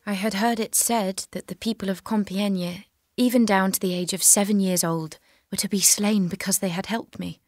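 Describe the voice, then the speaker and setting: A woman's voice: mid-range voice, fairly even intonation, moderate pace, hardly any pauses, no echo, clean studio sound, lightly acted. A woman playing a devout, resolute young peasant prisoner in an audio drama, earnest, plain-spoken and guarded. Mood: solemn testimony.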